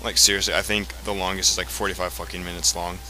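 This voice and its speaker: A man's voice: low voice, little pitch movement, quick pace. A man giving casual, offhand commentary as host of a video game playthrough. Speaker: let's play host. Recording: faint background noise.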